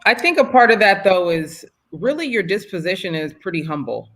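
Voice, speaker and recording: A woman's voice: lowish voice, very animated, a little fast, fairly bright tone. A woman hosting an interview who asks questions in an encouraging, affirming way. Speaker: host. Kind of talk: conversational interview.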